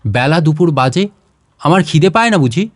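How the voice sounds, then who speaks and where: A man's voice: a little high, animated, moderate pace, no room sound, studio quality, close to an everyday voice. A man voicing a hungry, impatient fourteen-year-old village boy in a storybook, blunt and complaining, with speech. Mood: annoyed, dismissive.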